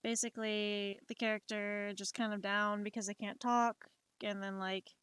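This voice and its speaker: A young adult woman chatting casually while she draws on a live stream, unhurried and rambling, with many fillers and restarts. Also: medium pitch, little pitch movement, fairly bright tone, clean tone, no room sound. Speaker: livestreamer.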